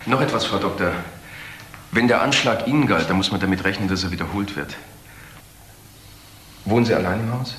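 An actor playing a calm, methodical police investigator in a crime drama, measured and matter-of-fact. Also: male voice, fairly low pitch, close to an everyday voice. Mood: calm, concerned. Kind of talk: German crime drama acting. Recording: roomy, noisy drama soundtrack.

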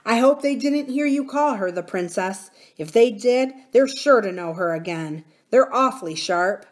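A woman's voice: fairly high pitch, expressive, normal speed, pitched above the performer's own voice, close to an everyday voice. A woman voicing a confident, cheerful twelve-year-old miner boy who talks bluntly and fearlessly in a storybook reading. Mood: matter-of-fact, bold. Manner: plain-spoken, matter-of-fact, self-assured.

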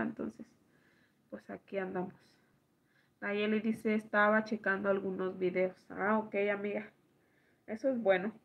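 A woman, hoarse from allergies, chatting slowly with viewers and reading their comments aloud on a live stream from home at night. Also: medium pitch, lo-fi sound. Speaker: livestream host reading chat. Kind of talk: casual live chat with viewers.

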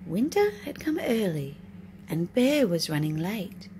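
A woman's voice: lowish voice, big pitch swings, unhurried, darkish tone. A woman narrating a children's storybook expressively as a storytime reader. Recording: noisy home recording.

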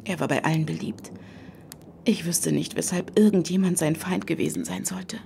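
A grieving widow and lady of the manor, speaks in a very low, creaky voice. She stays formal and guarded, in polished radio play style. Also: sing-song, moderate pace, warm tone, a little hiss or hum, full character voice. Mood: guarded, composed.

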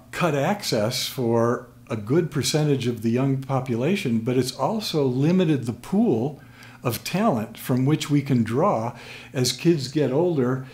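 An older American man speaks as an expert guest in an interview, at a mid-range pitch. He explains in measured phrases with small pauses, into a desk microphone in a small room. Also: darkish tone. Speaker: guest expert, sports sociologist. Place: small plain room at a table, indoors. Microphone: desk microphone on stand.

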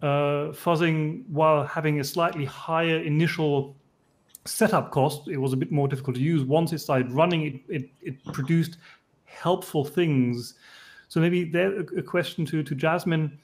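A man moderates an online panel in a fairly high voice. He phrases things haltingly as he hands questions to the speakers. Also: steady tone. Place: indoors, online video call.

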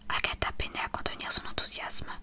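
Soft whispering storybook narrator. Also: fairly quick. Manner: soft unvoiced whisper throughout. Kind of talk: ASMR whispered storybook reading.

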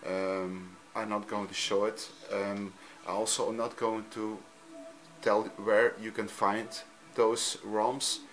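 A man from Belgium, a native Dutch speaker with a Dutch accent, talking off camera in a halting, simple way while he films his TV screen at home. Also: fairly low pitch, flat delivery, a bit bright, faint music behind. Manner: halting, frequent ums, simple non-native phrasing. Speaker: hobbyist youtuber. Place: room at home, filming a TV screen.

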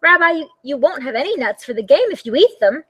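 A woman's voice: high voice, sing-song, brisk, fairly bright tone, no room sound, pitched above the performer's own voice. A woman voicing a sweet, frail, very old woman who is hard of hearing, cheerfully fussing over a guest in a storybook. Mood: cheery. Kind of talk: storybook character voice.

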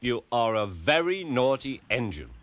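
A man's voice: mid-range voice, big pitch swings, a bit bright. A man doing a pompous railway boss. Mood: formal. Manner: measured and formal, pauses for effect. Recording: music playing behind.

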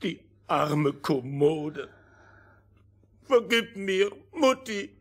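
A whiny, high-pitched old king. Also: sing-song, extremely slow, fairly bright tone, heavily acted. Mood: whining, remorseful. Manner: flustered, pompous. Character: whining, sly old fairy-tale king and henpecked father. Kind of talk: theatrical radio play acting.

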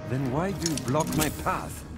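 A man voicing a cold, grieving scientist villain in a video game, speaking formally with measured, clipped menace. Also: a little high, lively intonation, lightly acted. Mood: bitter resolve. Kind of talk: video game dramatic acting. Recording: loud music under the dialogue.

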